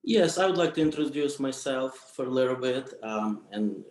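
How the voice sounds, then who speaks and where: A man from Ukraine speaking English with a Ukrainian accent, haltingly introducing himself on a video call. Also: medium pitch, lively intonation, a little mellow, echoey room. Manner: halting, simple phrasing. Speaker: real estate agent.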